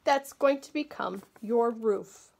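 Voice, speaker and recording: A woman with a fairly high, light voice walks through a table-top craft one step at a time, clear and patient. She is recorded in a small indoor room with faint hiss behind her. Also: takes it slowly, a little mellow. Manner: clear, patient instructional delivery. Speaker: agriculture educator.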